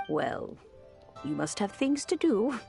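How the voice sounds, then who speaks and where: A kindly old woman speaks in a gravelly voice with lively, swooping intonation. She takes her time like a wise storyteller, gently scolding as she goes, with faint music behind her. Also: medium pitch, heavily acted. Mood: warm, inviting. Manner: unhurried, gently chiding, storyteller-like. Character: kindly wise old granny, secretly immortal. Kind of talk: video game dialogue acting.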